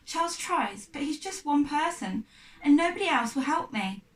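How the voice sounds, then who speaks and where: A woman's voice: high voice, lively intonation, moderate pace, noisy background, voice pushed up. A woman reading the part of an earnest, determined, anxious young girl in a storybook. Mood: anxious pleading. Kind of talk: storybook character dialogue. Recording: echoey room, distant mic.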